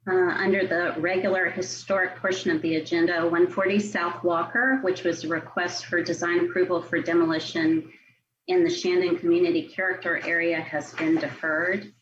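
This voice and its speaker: A woman with an American accent and a slightly low voice reads out procedural items as a municipal staff member. Her delivery is formal and official. The online meeting audio is a little muffled, with faint noise behind her. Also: flat delivery, normal speed. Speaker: city staff member at a public commission meeting.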